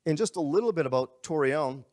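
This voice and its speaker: A man giving a live sales presentation to a group in a room, pitching in an upbeat, conversational way. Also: expressive, fairly quick, a little mellow. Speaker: company presenter.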